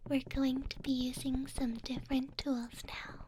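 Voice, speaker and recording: Casting: woman to play a caring elf ear cleaner for ASMR; high, slow, breathy whisper, coaxing and tender. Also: little pitch movement, darkish tone, slight background noise, low-quality recording. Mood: doting, reassuring. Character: two doting female elves, gentle caring ear cleaners. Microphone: close binaural ear microphone. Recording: close binaural mic.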